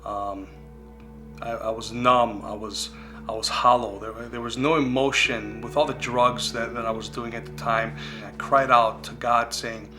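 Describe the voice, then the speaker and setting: Man, 40s, Greek as first language, mid-pitched, sincere testimony over soft guitar bed. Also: steady tone, measured pace. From United States. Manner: earnest, reflective storytelling. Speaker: speaker sharing his recovery testimony. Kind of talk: personal testimony. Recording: guitar music playing underneath.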